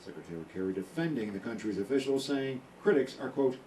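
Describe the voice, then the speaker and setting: A man foreign correspondent voicing a news report in a measured reporter delivery. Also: mid-range voice, expressive, mellow. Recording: distant playback with light noise.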